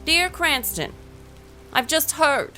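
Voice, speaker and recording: Woman acting composed, higher-voiced period letter-writing sister. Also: very animated, takes it slowly, bright, forceful tone, faint music behind, heavily acted. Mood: worried, earnest. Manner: composed, articulate, formal diction. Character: affectionate, teasing, resolute sister writing letters, 1940s-style drama. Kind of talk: period audio drama, letter read aloud.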